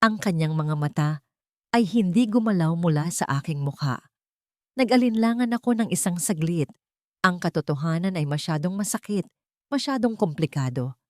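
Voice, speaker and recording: A woman reading scripted first-person dramatic narration in measured, deliberate phrases with dramatic emphasis. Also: lowish voice, animated, mellow, no echo, studio quality. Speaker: story narrator.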